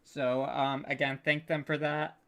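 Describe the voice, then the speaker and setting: A man's voice: fairly high pitch, flat delivery, a little slow, creaky, fairly bright tone, smooth. A man hosting a casual unscripted panel, speaking in a hesitant, stammering, stop-start way and sounding apologetic. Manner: apologetic, self-deprecating. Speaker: panel host, audio drama producer.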